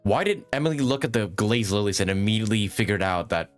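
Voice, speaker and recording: A man's voice: lowish voice, fast talker, soft background music. A man live-streaming a game, reacting casually and thinking aloud in an informal, sweary way.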